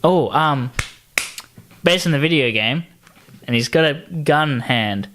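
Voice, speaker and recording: A man's voice: medium pitch, lively intonation, a bit bright. Jokey man chatting casually on a podcast. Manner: jokey, quick to laugh. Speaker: podcast co-host. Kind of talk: casual pop-culture chat.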